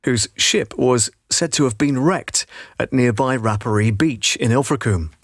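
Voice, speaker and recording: British male voice-over, middle-aged, measured scripted storytelling read, medium pitch, dry booth sound. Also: dry recording. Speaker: voice-over artist. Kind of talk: scripted documentary narration for a promotional video. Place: vocal booth. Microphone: studio condenser microphone.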